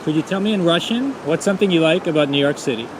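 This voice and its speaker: Curious street-interview host, a man with a slightly high voice, light traffic behind. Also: fast talker. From Montreal, Canada. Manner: friendly, curious questioning. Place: busy city street outdoors. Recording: outdoor street with faint traffic noise.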